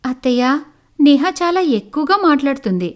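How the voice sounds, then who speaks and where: A woman's voice: high-pitched, big pitch swings, a little fast. A woman voicing an indignant young elder daughter-in-law, complaining earnestly in a cartoon family story. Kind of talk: cartoon moral story acting.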